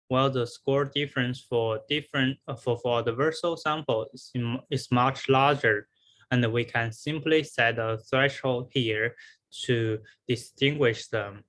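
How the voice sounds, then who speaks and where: A man giving a scripted academic research presentation in a halting way, with repeated words and pauses. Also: medium pitch, fairly bright tone.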